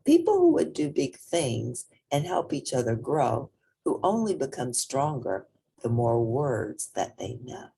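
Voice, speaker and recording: A woman reads a children's story aloud with a low, husky voice and a touch of gravel. Her delivery is gentle and unhurried, with expressive swings in intonation and pauses for effect. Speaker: reader of a children's picture book.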